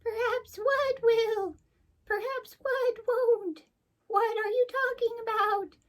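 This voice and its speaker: A woman voicing a haughty, indignant queen bee in a shrill, imperious storybook character voice. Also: steady tone, takes it slowly. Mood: nosy.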